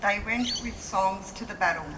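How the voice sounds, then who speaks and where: A woman reciting a poem at a memorial service in a solemn, deliberate way. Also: mid-range voice. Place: outdoor dawn memorial service. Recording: echoey, distant, noisy outdoor recording.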